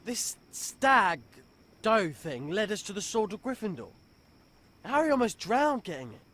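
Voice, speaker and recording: An actor playing a blunt, emotional young British wizard, hot-headed but remorseful, in a dramatic game scene. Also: male voice, high voice, big pitch swings, unhurried, a bit bright, full character voice. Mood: earnest. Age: young adult. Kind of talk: dramatic film-style game acting.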